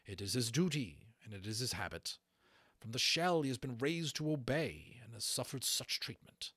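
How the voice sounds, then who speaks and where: A man's voice: medium pitch, expressive, normal speed, a bit bright, slightly rough, dry recording, clean studio sound, voice pushed up. A man acting a stern, dutiful and courteous military captain in an audiobook, formal and firm. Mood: grave, gentle.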